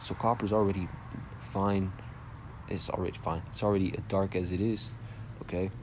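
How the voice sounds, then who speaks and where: A soft-spoken young man teaching scripture casually, reading verses aloud and checking in with "all right?" and "okay?". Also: low-pitched, steady tone, normal speed, background noise. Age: young adult. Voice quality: soft-spoken by his own account. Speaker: Bible teacher.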